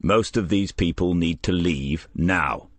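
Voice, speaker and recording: A man's voice: low-pitched, slow pace, no room sound. A man voicing a terse, probing male detective in an audiobook. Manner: terse, questioning.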